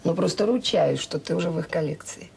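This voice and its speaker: An actress playing a caring, wry, middle-aged mother in an audio drama, talking in a colloquial, gently teasing, frank way. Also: lowish voice, fairly quick, vocal fry. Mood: teasing, fond. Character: caring, wry, tired mother of a grown son. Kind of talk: naturalistic radio drama dialogue. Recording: slightly noisy 1980s audio drama recording.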